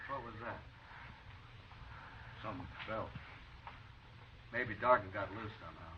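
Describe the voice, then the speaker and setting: An actor playing a bossy, furtive outlaw in 1950s western radio drama, giving curt orders. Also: male voice, fairly low pitch, a little slow, natural voice. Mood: wary, bossy. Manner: curt, ordering others about. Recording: muffled, faintly noisy radio recording, distant.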